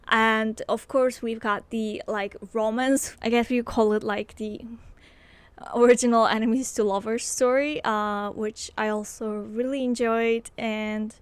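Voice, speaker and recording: A woman's voice: on the high side, steady tone, unhurried, clear, resonant tone, dry recording. A young woman, chatting enthusiastically about her favorite books and using lots of fillers, close to a handheld mic in a small room. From Poland. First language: Polish. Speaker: book reviewer. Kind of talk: casual book review vlog. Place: small bedroom with bookshelves, indoors. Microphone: handheld microphone close to mouth.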